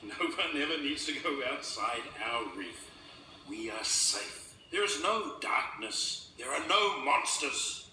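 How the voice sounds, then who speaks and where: A man acts out a protective island chief from a storybook, a stern father speaking slowly with wide swings in pitch. His firm, commanding words come from a distance in a roomy space, over music. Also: animated, background noise. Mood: firm, reassuring. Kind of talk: storybook character dialogue. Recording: distant, roomy sound under loud music. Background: loud music.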